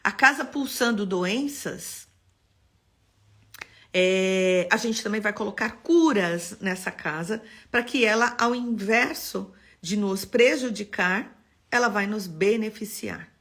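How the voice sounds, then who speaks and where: A woman from São Paulo with a Paulista accent, an architect teaching an expressive live-stream class. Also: medium pitch, slow pace. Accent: Paulista Brazilian Portuguese. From São Paulo, Brazil. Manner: expressive, greets viewers by name.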